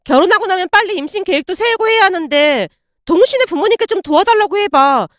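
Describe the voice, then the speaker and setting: A woman's voice: very high-pitched, lively intonation, fast, a bit bright, no room sound, studio quality, voice pushed up. A woman voicing an entitled, indignant young ex-girlfriend in a dramatized story, whining and demanding. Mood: whiny insistence.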